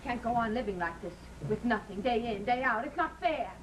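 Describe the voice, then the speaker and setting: A woman's voice: a little high, lively intonation, fairly quick, close to an everyday voice. A woman playing a weary, bitter, discontented wife in an old-time radio drama, with a theatrical, accusing delivery. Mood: weary, resigned. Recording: noisy vintage radio recording.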